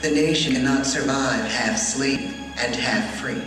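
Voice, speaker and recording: American male documentary narrator, mid-pitched, grave and measured, recorded very echoey under loud music. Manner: solemn, measured, dramatic delivery. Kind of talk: scripted historical narration. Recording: very echoey large hall, distant, music behind.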